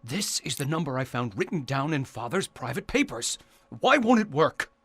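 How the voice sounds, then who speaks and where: A boastful, hard-drinking young scion of a rich family in a radio thriller. He is petulant and cocky, with a bright, forceful tone and very animated intonation. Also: a little high, normal speed, husky, full character voice. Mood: angry, petulant. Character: spoiled, boastful, hard-drinking playboy heir. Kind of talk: Cold War radio drama acting.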